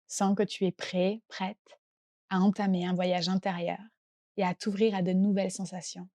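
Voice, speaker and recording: A woman gently and calmly guiding a relaxation meditation as a yoga nidra teacher, unhurried and soothing in her delivery. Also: medium pitch. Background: soft background music.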